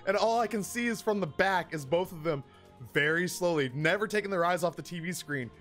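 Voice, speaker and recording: A man around his thirties tells personal stories on a livestream in a casual, comedic, animated way, with profanity and self-deprecation. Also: high-pitched, brisk. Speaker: live streamer telling personal stories. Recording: music behind the voice. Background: background music.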